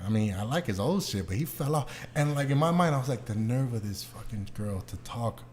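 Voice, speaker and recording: A man talking casually and bluntly as a podcast host, opinionated and quick to swear. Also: medium pitch, animated, a crowd in the background. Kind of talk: casual group podcast chat.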